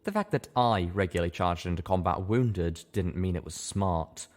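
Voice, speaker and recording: A man's voice: sits low, normal speed. A man narrating a scripted adventure story in a dramatic reading style.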